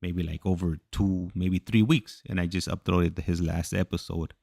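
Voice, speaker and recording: Low-voiced gravelly man rambling on a livestream. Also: steady tone, darkish tone, no room sound, clean studio sound. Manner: halting, repetitive, loses his thread. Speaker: livestream host. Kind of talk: casual rambling livestream chat.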